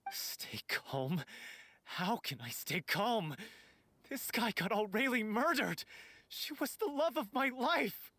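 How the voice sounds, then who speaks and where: A grieving, hot-headed lover in a game drama. The voice is forceful and rough-edged with lively pitch, confrontational and bitterly accusing. It sits close and dry. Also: male voice, takes it slowly, dry recording, heavily acted. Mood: furious, grieving. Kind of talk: dramatic voice acting.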